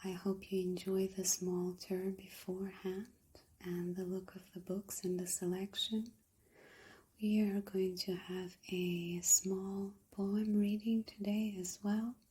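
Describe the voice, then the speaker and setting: A woman speaks softly in an ASMR style, often slipping into a breathy whisper. Her tone is mellow and dark, at a medium pitch. She goes slowly and deliberately, gentle and hushed throughout, as if reading aloud in a library. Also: flat delivery. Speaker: ASMR host playing a librarian reading poems. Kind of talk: soft-spoken ASMR with poem reading.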